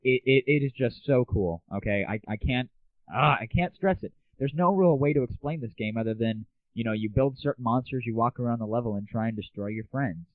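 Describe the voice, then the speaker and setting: A man's voice: mid-range voice, fairly quick, dry recording. A young American man hosting a casual solo show of gaming news and comic rants, irreverent, opinionated and slangy. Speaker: host. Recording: tinny, dry, phone-like narrow audio.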